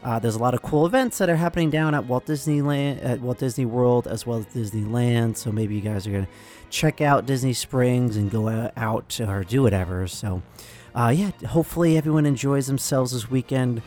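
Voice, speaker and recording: A young man hosting a casual news podcast, hoarse from a cold, rambling with lots of fillers. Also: medium pitch, fairly quick, darkish tone, faint music behind. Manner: rambling, filler-heavy, apologetic. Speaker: podcast host.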